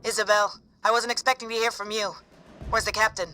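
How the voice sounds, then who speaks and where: Bright, forceful soldier, high-pitched. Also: male voice, little pitch movement, a little fast. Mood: friendly assurance. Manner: cocky, rallying. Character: confident, easygoing male super-soldier squad leader. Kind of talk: cinematic video game acting.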